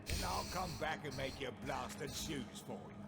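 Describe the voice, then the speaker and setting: Gruff swearing spy, gravelly, music loud behind. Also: male voice, mid-range voice, animated, moderate pace. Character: gruff foul-mouthed male spy.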